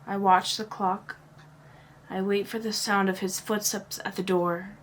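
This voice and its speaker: A woman recites a confessional monologue at a very slow pace, leaving space between phrases. Her voice sits at a medium pitch and often drops to a hush. The delivery is earnest and dramatic, in the style of spoken-word poetry. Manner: earnest, drawn-out phrasing with pauses, often hushed. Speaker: poet.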